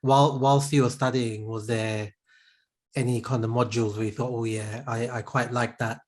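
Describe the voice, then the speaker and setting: Relaxed male podcast host. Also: mid-range voice, moderate pace. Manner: relaxed, filler-heavy questioning. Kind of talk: casual interview questions.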